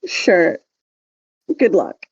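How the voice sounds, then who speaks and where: A woman's voice: lowish voice, wildly swooping pitch, very slow, husky, dry recording, muffled recording. A woman co-hosting a casual podcast, speaking with hesitant, understated, dry reactions. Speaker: podcast co-host.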